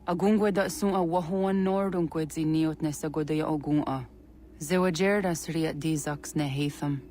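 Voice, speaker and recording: A woman plays a grave female elder in a video game. Her voice is very deep for a woman, with faint ambient noise behind it. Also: fairly even intonation, moderate pace, darkish tone, close to an everyday voice.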